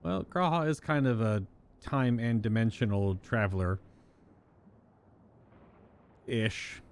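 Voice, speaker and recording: Male game streamer, slow delivery, lively melody, playful casual commentary. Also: mid-range voice, clean tone. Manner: informal, playful. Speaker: live-streamer. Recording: stream voice over game music.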